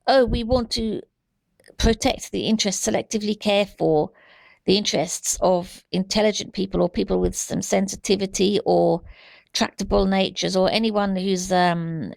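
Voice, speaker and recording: A woman giving an unscripted opinion monologue in a halting, deliberate, earnest way. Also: medium pitch, vocal fry, dry recording, rough recording. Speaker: amateur video commentator.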